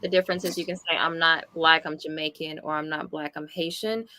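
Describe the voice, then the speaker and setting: A woman panelist speaking calmly and in a measured way in a live discussion. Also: low voice, no room sound.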